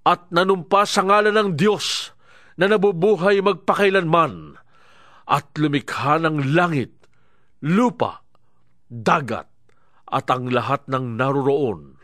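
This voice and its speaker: A man's voice: a little high, expressive, slow, pauses often, a bit bright, dry recording, studio quality. A man playing an earnest prophet recounting his vision in a declamatory scripture narration for an audio drama. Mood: awed, solemn.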